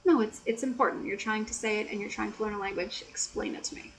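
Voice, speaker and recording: An American woman chatting softly and explaining things in a casual, teacherly way, with frequent "you know". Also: mid-range voice, fairly even intonation, fast talker, slight background noise, poor audio. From United States. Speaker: ASMR creator and English tutor. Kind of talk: soft-spoken ASMR chat.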